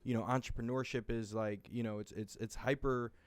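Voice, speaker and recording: Smooth-voiced man hosting an interview. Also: mid-range voice, steady tone, no echo. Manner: affirming, halting with restarts. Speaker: podcast host. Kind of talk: casual interview conversation.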